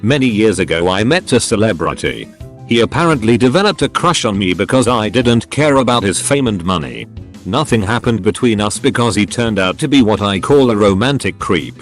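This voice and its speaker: A man's voice: lowish voice, expressive, fairly quick. A man narrating short online stories in a scripted, recited read-aloud style. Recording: music behind the voice. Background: background music.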